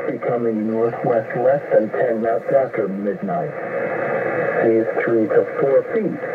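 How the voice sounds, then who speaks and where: Robotic man's voice over noisy shortwave radio. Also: lowish voice. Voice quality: synthetic robotic voice. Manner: monotone, clipped phrase-by-phrase delivery. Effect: shortwave single-sideband radio. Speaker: automated marine weather forecast announcer. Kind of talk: scripted weather bulletin reading. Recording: muffled shortwave radio, loud static noise. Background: loud radio static.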